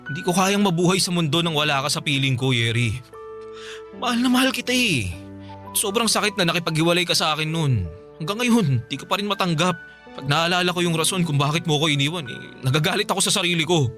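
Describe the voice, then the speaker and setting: Radio drama ex: young male, desperate and lovesick, rapid, emotional pleading over soft music. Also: fairly high pitch, lively intonation. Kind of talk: Filipino radio drama acting.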